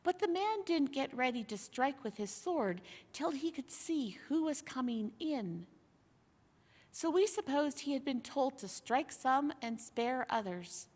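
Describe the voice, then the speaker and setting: A woman reading a storybook aloud in an expressive, measured storytelling manner. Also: high voice. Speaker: reader. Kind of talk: storybook narration, read aloud. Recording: long hall-like reverb.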